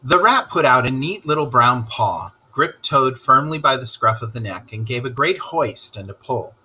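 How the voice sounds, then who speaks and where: A man narrating a children's story aloud in an expressive storytelling style. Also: mid-range voice, moderate pace, breathy edge.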